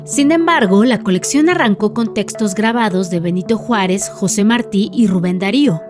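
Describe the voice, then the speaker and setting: A woman hosting a podcast, delivering scripted documentary narration in a clear, even way. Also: mid-range voice, normal speed, over music. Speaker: podcast host.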